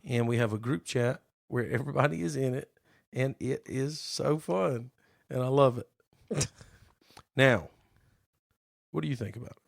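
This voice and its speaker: Gravelly-voiced man, a bit hoarse. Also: medium pitch, no echo, clean studio sound. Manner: playful, teasing, drawn-out reading aloud. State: slightly hoarse from being sick. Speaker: podcast co-host. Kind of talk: casual chat.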